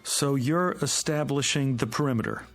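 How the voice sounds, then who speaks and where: A man's voice: mid-range voice, moderate pace, soft background music, natural voice. An actor playing a worried, questioning father in a radio drama, earnest and eager to act. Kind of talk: modern radio drama acting.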